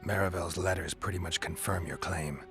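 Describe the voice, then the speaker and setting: A man voicing a gruff, world-weary monster hunter in a video game, deadpan and laconic. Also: sits low, moderate pace. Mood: dry, matter-of-fact. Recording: music behind the dialogue.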